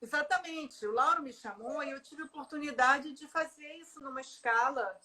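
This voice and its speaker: A middle-aged woman, an artist in a conversational interview, talking with many pauses, fillers and restarts. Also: high voice, bright, forceful tone.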